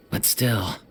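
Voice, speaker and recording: A man voicing a weary, serious, devoted theatre troupe manager in a video game, with a husky, earnest delivery. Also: slight background noise.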